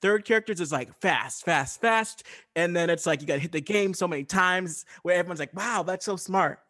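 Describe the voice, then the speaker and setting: A man with a fairly high voice talks casually. He explains in a loose, rambling way, stopping and restarting his own thoughts. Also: a little fast, pushed and bright. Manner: rambling, self-interrupting, loose. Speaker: improv comedian on a podcast.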